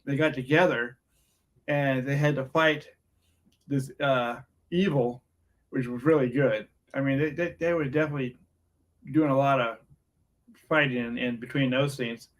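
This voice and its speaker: A soft-spoken man co-hosting a casual movie review podcast, talking quietly. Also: mid-range voice, fairly even intonation, moderate pace, pauses often. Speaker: podcast co-host.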